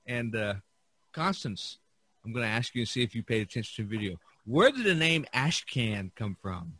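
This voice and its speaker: A man hosting an informal art discussion, speaking haltingly and often repeating his words. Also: mid-range voice, very animated, sharp tone, no echo. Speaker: podcast host.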